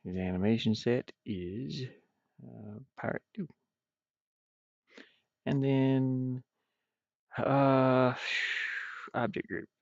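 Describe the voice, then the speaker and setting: A man with a gravelly voice rambles and mutters to himself, thinking aloud casually as he streams his game development work. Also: medium pitch, steady tone, drawn-out words, long pauses, a little mellow, no room sound. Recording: dull, muffled, dry lo-fi microphone.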